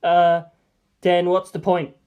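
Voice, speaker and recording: A woman's voice: fairly low pitch, little pitch movement, slow, dark tone, smooth. A woman reads as a young male hero in a story, plain-spoken and bewildered. The delivery is casual and reactive, in a natural voice. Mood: puzzled.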